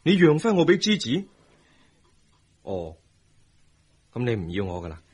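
An actor with a Hong Kong Cantonese accent playing a tender, remorseful young husband in a radio drama, earnest and emphatic. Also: male voice, medium pitch, wildly swooping pitch, measured pace, slightly rough, full character voice. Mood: hurt, remorseful. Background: music.

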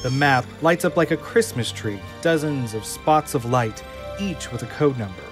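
A man narrating the scene directions of an audio drama in an even, descriptive manner. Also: medium pitch, normal speed, over music. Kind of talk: scripted narration of stage directions.

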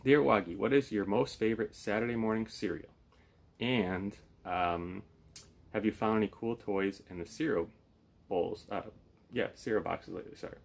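A man's voice: fairly low pitch, normal speed, creaky. A man in his thirties chatting casually and off the cuff as a puppet show host in a small room at home. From lives in Florida, United States. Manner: easygoing, playing straight man to the puppet. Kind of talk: casual, improvised chat.

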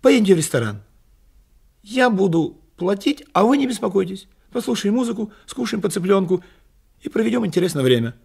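A man's voice: sits high, big pitch swings, a little fast, gravelly, darkish tone, husky, voice pushed up, exaggerated voice. A man voicing a bored, naive wife with a light, coaxing manner in a satirical story.

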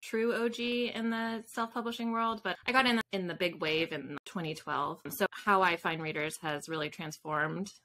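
Interview guest: woman, thirties, very bright voice with slightly low pitch, informal and self-interrupting. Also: fairly even intonation, moderate pace, few pauses. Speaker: podcast guest, author. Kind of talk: casual interview answers. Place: home room with bookshelves. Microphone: video call.

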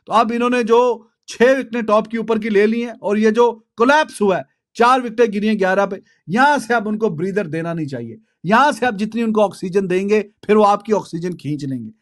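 A man with a Pakistani accent and a high-pitched voice talks cricket casually to the camera. His delivery is conversational and colloquial, with English phrases mixed in. He speaks close to a desk microphone in a small studio. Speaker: cricket commentator. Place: small indoor home studio. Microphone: desk microphone close in front.

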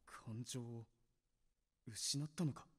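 Man acting a brooding, halting young anime man. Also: a bit bright, breathy edge, long reverb tail. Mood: troubled. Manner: halting, low-key. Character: brooding, guilt-ridden young male teammate. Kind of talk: anime visual novel acting.

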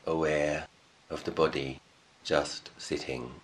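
A man leading a guided meditation as a teacher, speaking calmly and in measured short phrases with long pauses. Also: a bass voice, low-quality recording.

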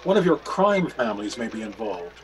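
A man's voice: on the low side, big pitch swings, brisk. A man in his sixties playing a grave, urgent reverend detective in a radio mystery. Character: grave, urgent reverend sleuth, worried husband. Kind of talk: radio mystery play acting.

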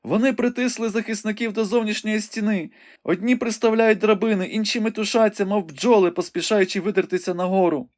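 A man's voice: high voice, fairly even intonation, a little fast, darkish tone, pitched above the performer's own voice, close to an everyday voice. A man voicing a young woman who watches a battle from a window and cries out what she sees. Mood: urgent, fervent. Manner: breathless, exclamatory reporting. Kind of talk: audiobook reading.

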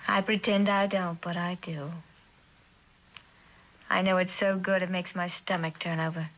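A woman's voice: lowish voice, level tone, unhurried, fairly bright tone, poor audio. A young American actress playing a blunt, breezy, kind-hearted female drifter in a mid-century suspense drama, with casual, slangy delivery. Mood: wry, frank. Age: young adult. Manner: casual, slangy, plain-spoken.

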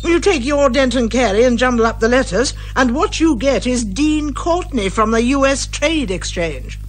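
Brisk, bossy British lady sleuth, animated. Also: high voice, slight background noise. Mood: smugly explaining. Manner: confident, brisk, lecturing. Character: eccentric, bossy female amateur sleuth, brisk and hearty. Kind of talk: 1980s radio comedy detective drama acting.

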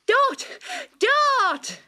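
A woman doing a gentle mother kangaroo. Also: very high-pitched, sing-song, drawn-out words, voice pushed up, full character voice. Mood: excited.

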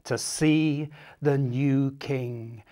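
A man reading a children's story aloud in an expressive, dramatic and deliberate way. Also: medium pitch. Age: 50s. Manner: animated, dramatic, deliberate, addressing young listeners.

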